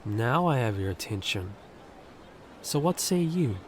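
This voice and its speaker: A man's voice: medium pitch, big pitch swings, a little slow, a little hiss or hum. A man playing a confident, self-centered handyman in an audio roleplay, teasing and cocky. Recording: music bed underneath.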